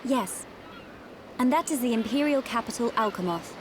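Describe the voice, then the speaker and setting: A woman's voice: a little high, lively intonation, normal speed, background noise, lightly acted. An actress playing a reserved, dignified young noblewoman in a video game, with a formal, clipped delivery. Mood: formal, composed. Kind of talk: video game cutscene acting.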